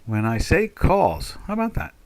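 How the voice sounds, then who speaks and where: A man's voice: a little high, huge pitch swings, normal speed. Older man with a South Jersey accent. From Pinelands of South Jersey. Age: 60s. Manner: rambling, chatty, many fillers and restarts. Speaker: market trader and stream host. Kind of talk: live trading chat, thinking aloud.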